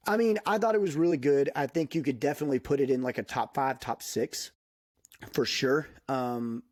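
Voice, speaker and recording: A man in casual podcast talk, lively and laughing, with frequent "dude" and "like". His voice sits at a middling pitch. The sound is dry and close. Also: moderate pace, no room sound. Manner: animated, laughs often, many fillers like "dude". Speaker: podcast guest. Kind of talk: casual chat.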